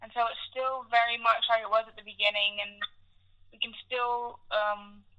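A woman's voice: on the high side, flat delivery, moderate pace, clean tone. A woman musician chatting casually in an interview over a dull telephone line. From grew up in Africa and Indonesia. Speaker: band musician being interviewed. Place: phone call.

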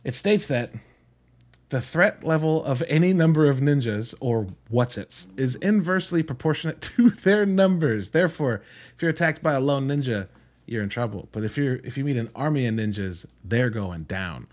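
A man's voice: mid-range voice, expressive, normal speed, darkish tone. A man hosting a solo podcast in a casual, enthusiastic and emphatic way, with informal language and wry asides. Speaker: podcast host reviewing films.

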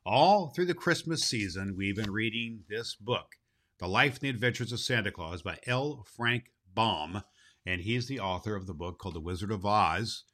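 Male performer as grandfatherly Christmas gift-bringer, jolly and hearty; forceful bright voice, swooping intonation, deliberate storyteller phrasing for kids. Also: lowish voice, lively intonation. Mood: cheerful, explaining. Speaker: host of a children's Christmas story show. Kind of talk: hearty in-character greeting and storytelling for children.

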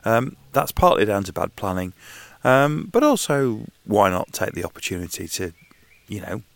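A man hosting a casual solo podcast, speaking outdoors in a garden. He is croaky and nasal from hay fever, and rambles conversationally with frequent ums and restarts. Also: lowish voice, very animated, normal speed. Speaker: podcast host.

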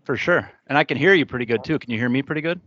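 A man's voice: medium pitch, very fast, fairly bright tone, dull audio. A man hosting a podcast in a casual, earnest, conversational way. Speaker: podcast host.

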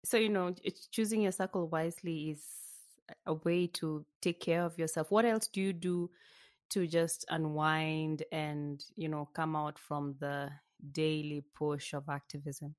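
A woman interviewer asking calm, measured questions. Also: low voice, dry recording, clean studio sound.

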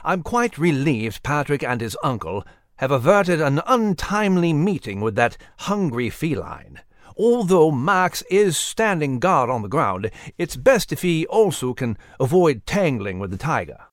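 A man's voice: fairly high pitch, sing-song, a little slow, no echo. A prim little mouse, as a man puts him on, formal and a touch fussy, his voice rising and falling a lot. The sound is dry. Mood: polite. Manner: formal, polite, slightly fussy. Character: small polite male mouse, fussy and proper.

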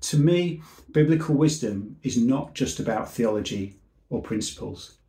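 A man who works as a civil servant, giving an earnest, measured seminar talk that trails off at the end of each phrase. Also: mid-range voice, animated, mellow, roomy sound.

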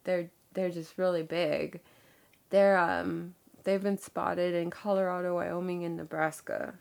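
Husky-edged young woman with a head cold. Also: lowish voice, flat delivery, a little slow, a little mellow. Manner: chatty, laughing, filler-heavy. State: nasal from a cold. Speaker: podcast co-host. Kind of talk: casual chat.

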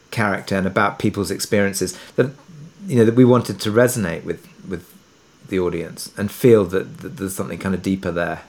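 A middle-aged British man talking reflectively as an interview guest, hesitant and thoughtful, often circling back to rephrase. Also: lowish voice. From Britain, partly raised abroad in Kuwait. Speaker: interview guest, audio drama creator.

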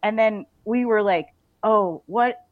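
A woman's voice: mid-range voice, slow pace, no echo. A woman chatting casually and enthusiastically as an interview guest, in an informal way. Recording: narrow phone-like call audio.

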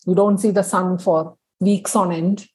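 Wanita India bersuara serak, bercerita santai. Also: female voice, fairly low pitch, steady tone, measured pace, a little mellow, slightly rough. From India (Kerala). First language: Tamil. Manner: chatty, many fillers, laughs through stories. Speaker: guest. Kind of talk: casual interview chat.

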